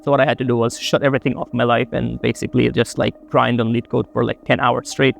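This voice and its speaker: A young man from Bangladesh, a college student, answering interview questions in a relaxed, rambling way with lots of fillers. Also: mid-range voice, fast talker, gravelly. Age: young adult.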